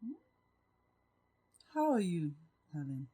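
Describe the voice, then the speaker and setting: A woman playing a gracious, composed and caring office boss in an audio drama, politely attentive and gently probing. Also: lowish voice, very animated, takes it slowly, husky, exaggerated voice. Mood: concerned, probing. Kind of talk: acted audio drama dialogue.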